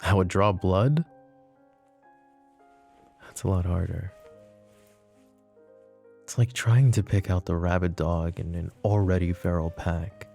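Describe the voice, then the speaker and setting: A man playing a flirty, possessive mafia boss in an intimate roleplay, speaking sardonically and softly with slow, deliberate pauses. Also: low voice, fairly even intonation, vocal fry, faint music behind, full character voice. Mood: grim, brooding. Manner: sardonic, soft-spoken, slow pauses, swearing and sighs. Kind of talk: intimate ASMR roleplay spoken to the listener.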